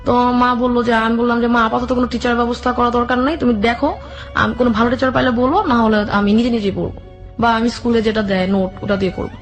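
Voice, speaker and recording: A woman interview guest tells a personal story at a quick clip. Her narration runs on, acting out each side of remembered conversations. Soft music plays behind her voice. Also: a little high, fairly even intonation. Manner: run-on narration, quotes both sides of conversations. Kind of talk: personal storytelling with re-enacted dialogue. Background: background music.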